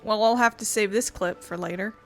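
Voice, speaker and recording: A woman giving casual, informal let's play commentary and reading game dialogue aloud, a little halting when she explains. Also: mid-range voice, a bit bright. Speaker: gaming YouTuber. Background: faint game music.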